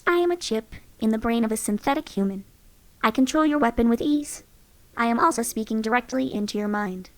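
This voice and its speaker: A proud, matter-of-fact AI chip speaks from inside the mind, voiced by a woman in a game. Her voice sits a little high, with no room sound at all. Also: fairly quick, dry recording, close to an everyday voice. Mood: calm, aloof. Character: female AI chip companion, cool and proud. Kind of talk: game companion voice lines.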